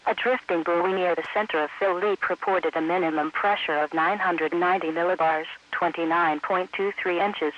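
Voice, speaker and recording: A high-voiced synthetic man reads a scripted weather bulletin. The delivery is measured and impersonal, like an automated announcer. It comes through dull, lo-fi weather radio audio. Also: fairly even intonation.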